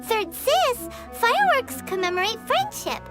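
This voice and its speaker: An extremely high, squeaky little fairy girl for a video game. She is chirpy and opinionated, and her pitch bounces around a lot. Loud music plays behind her. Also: sing-song, measured pace, exaggerated voice. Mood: curious, chatty. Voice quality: squeaky, childlike. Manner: refers to self in third person, exclamatory. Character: tiny childlike female fairy companion, chirpy and opinionated. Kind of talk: video game character acting. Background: background music.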